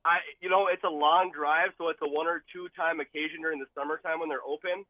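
Over a muffled, narrow phone line, a man phones in to a radio show. His voice is a little higher than average. He talks casually, with plenty of enthusiasm and emphasis. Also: steady tone, fast talker, no echo. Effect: telephone line. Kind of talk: casual, chatty call-in talk. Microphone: telephone.

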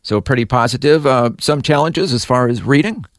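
A man hosting a faith radio interview, asking questions in a gentle, encouraging way. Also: mid-range voice, normal speed, no room sound, clean studio sound. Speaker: radio show host. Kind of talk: hosted interview.

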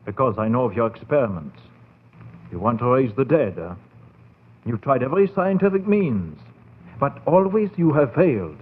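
A man's voice: medium pitch, very animated, moderate pace, slightly rough. An actor playing a sly, proud German doctor in a 1940s radio horror drama, theatrical and insinuating in his emphasis. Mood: sly, tempting. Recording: tinny phone-like 1940s radio recording.

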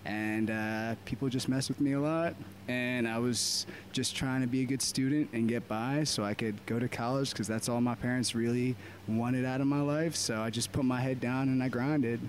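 A man in his twenties from Baltimore, a DJ talking casually and reflectively about his life as an interview guest, with frequent "uh" pauses. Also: mid-range voice, a little hiss or hum. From Baltimore, USA. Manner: relaxed, reflective, many "uh" pauses. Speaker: podcast guest, DJ.

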